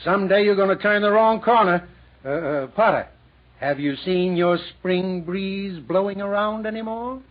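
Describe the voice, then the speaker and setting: An actor in a 1940s radio drama playing a grumbling, exasperated, tight-fisted department store owner. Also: male voice, high voice, normal speed, natural voice. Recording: dull 1940s radio recording.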